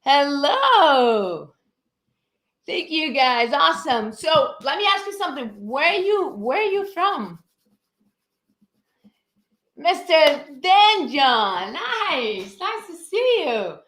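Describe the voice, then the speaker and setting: A woman's voice: high voice, fairly bright tone, roomy sound. A woman with a Brazilian accent, a fitness coach teaching a live-streamed lesson, greeting viewers and answering her own questions with energy. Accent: Brazilian Portuguese.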